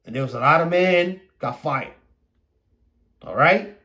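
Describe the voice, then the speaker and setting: A man who is a native Spanish speaker hosting a podcast, delivering an opinionated casual monologue in a blunt, profane, repetitive and halting manner. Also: fairly high pitch, animated, bright, forceful tone. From Puerto Rico. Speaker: podcast host.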